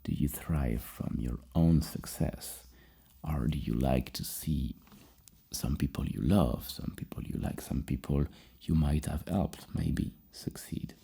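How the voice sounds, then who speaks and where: An extremely low, breathy male voice, soft-spoken in an ASMR style. He sounds like a psychologist working through questions in a halting, rambling way, with heavy fry in a mellow tone. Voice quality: very deep, gravelly, breathy. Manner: slow, halting, rambling, dropping into whispers. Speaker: ASMR roleplayer playing a psychologist giving a personality questionnaire.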